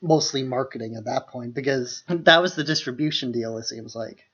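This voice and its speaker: A man's voice: medium pitch, moderate pace, fairly bright tone, breathy edge, highs cut off. A man co-hosting a podcast, discussing films casually in an informal way peppered with "like". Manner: informal, hedging with many "like"s. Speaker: podcast co-host.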